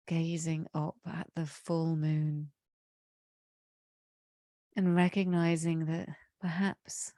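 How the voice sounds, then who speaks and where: A woman leading a guided meditation in a slow, soothing way, her voice slightly croaky from a cold. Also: sits low, mellow, no echo, clean studio sound. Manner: slow, soothing, drawn-out phrasing. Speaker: meditation leader.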